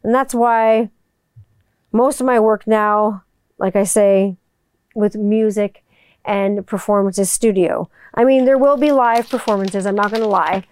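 Chatty woman with a smooth, clear voice. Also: medium pitch, steady tone, a little slow, darkish tone, dry recording. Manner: chatty, rambling, swears casually. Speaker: voiceover artist and musician. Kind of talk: casual monologue to viewers. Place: home room with people upstairs.

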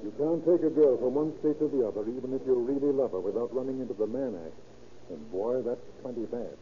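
A man's voice: medium pitch, quick pace, natural voice. An actor playing an earnest, self-justifying married businessman in love, urgent and insistent, in 1930s radio style. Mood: resolute. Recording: muffled, hissy old radio recording.

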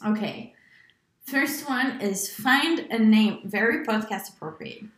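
A woman's voice: on the high side, expressive, takes it slowly, fairly bright tone, reverberant. A woman co-hosting a casual podcast, rambling and filling her speech with "like", laughing often. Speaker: podcast co-host. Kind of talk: casual chat.

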